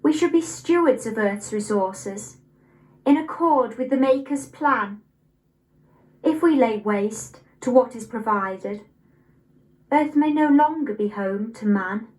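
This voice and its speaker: A young adult woman with an English accent, reciting poetry expressively with measured, deliberate phrasing. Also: fairly high pitch, lively intonation, darkish tone, low-quality recording. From Nottingham, England. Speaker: poetry reader.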